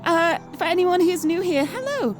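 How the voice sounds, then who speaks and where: A woman's voice: very high-pitched, animated, moderate pace. A woman from the UK with a British accent, live streaming a game, chatting and reading aloud. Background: music.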